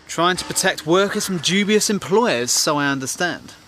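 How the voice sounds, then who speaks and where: A higher-voiced, wry English war correspondent. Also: male voice, lively intonation, moderate pace, fairly bright tone, slight background noise. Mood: earnest, probing. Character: earnest, probing British war journalist, wry and polite. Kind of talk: period audio drama acting.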